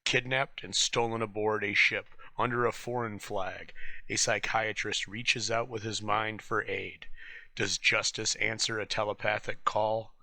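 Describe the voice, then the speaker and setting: Male narrator, scripted introduction, lowish pitch, very sharp bright tone, dry studio-like sound. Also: fairly even intonation, measured pace, no room sound, rough recording. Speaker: presenter introducing old radio episode.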